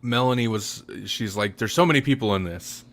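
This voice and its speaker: Casual male podcast host with a lowish voice and a rambling, self-correcting way of talking. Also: fairly quick, a bit bright. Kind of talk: casual chat.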